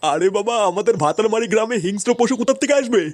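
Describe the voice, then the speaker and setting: A man's voice: high voice, expressive, quick pace, dry recording. A man voicing a fretful old village grandfather in a cartoon, grumbling with emphasis. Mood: alarmed, grumbling. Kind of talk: cartoon voice acting.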